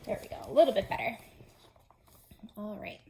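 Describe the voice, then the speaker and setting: A woman's voice: medium pitch, sing-song, normal speed, gravelly, darkish tone, a little hiss or hum. A woman preschool teacher reading a storybook aloud to young children, addressing them directly with simple explanations.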